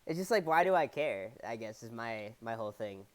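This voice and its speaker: A man's voice: medium pitch, lively intonation, brisk, crowd noise. A man co-hosting a podcast in casual chat, laid-back and trailing off, with a stuffy head cold. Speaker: podcast co-host.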